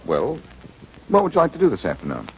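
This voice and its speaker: An actor playing a charming, confident amateur detective with a light bantering manner, in 1940s radio drama style. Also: male voice, mid-range voice, wildly swooping pitch, brisk, vocal fry. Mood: breezy, teasing. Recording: dull, noisy 1940s radio broadcast.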